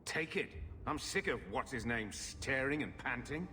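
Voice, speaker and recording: Bitter uncle, reverberant. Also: male voice, medium pitch, animated, measured pace, bright, forceful tone, a little hiss or hum. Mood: grumbling. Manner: gruff, dismissive. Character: bitter, grumbling middle-aged uncle fallen on hard times. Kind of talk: video game voice acting.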